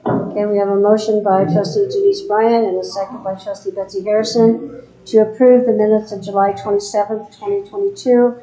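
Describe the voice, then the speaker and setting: A woman mayor chairing a town board meeting, working through the agenda in a steady, procedural way. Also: mid-range voice, fairly quick. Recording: echoey room, dull sound.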